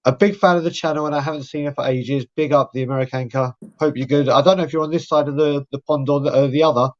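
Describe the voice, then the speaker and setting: A man's voice: fairly high pitch, steady tone, fast. A man, hosting a live fan podcast in a chatty, rambling way. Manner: chatty, rambling shout-outs. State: croaky voice. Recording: dull lo-fi audio.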